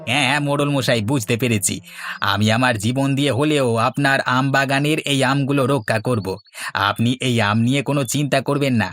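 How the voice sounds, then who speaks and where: A man's voice: mid-range voice, fairly even intonation, a little fast, fairly bright tone, no room sound, studio quality, lightly acted. A man voicing a fawning, boastful servant of a village headman in a cartoon. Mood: fawning, eager. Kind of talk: cartoon dialogue. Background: instrumental music.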